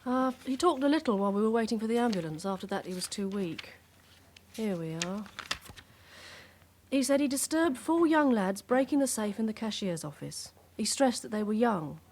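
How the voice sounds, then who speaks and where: A woman's voice: a little high, animated, moderate pace, darkish tone, a little hiss or hum. An actress playing a composed British policewoman in a radio drama, reporting matter-of-factly in clipped phrases. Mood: factual, composed. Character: composed, dutiful policewoman reporting to her sergeant.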